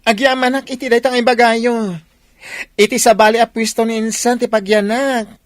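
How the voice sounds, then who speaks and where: Woman as a fretful effeminate young son, high-voiced. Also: fairly even intonation, normal speed, dry recording, studio quality, natural voice. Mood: anxious. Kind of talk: comedy radio drama acting.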